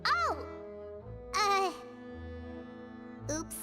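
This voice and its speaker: A woman voicing a small, chatty, childlike fairy companion with a squeaky voice in a video game. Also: big pitch swings, very slow, music in the background, full character voice. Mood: sheepish.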